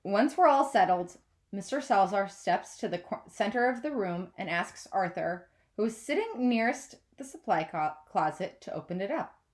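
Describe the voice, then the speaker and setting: A woman's voice: medium pitch, expressive, normal speed. Woman teacher reading a storybook aloud. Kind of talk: scripted storybook narration.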